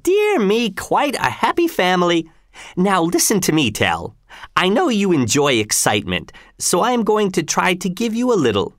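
A reader putting on a sneering tyrant governor, swooping pitch. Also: huge pitch swings, normal speed, dry recording, clean studio sound. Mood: mocking. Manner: mocking, commanding, falsely reasonable. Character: sneering tyrant governor, mocking, imperious, cruel man.

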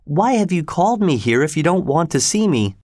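A man voicing an impatient, defiant young woman in an audiobook, sharp and indignant. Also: high voice, sing-song, normal speed, no echo, studio quality, voice pushed up. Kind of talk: audiobook character voice.

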